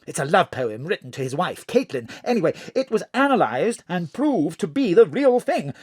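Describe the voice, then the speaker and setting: An actor playing an excitable, flowery middle-aged government chief in an audio drama, with theatrical, flustered phrasing. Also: male voice, sits high, moderate pace, breathy edge, no room sound, clean studio sound, heavily acted. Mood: confiding, dramatic. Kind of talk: audio drama acting over a phone call.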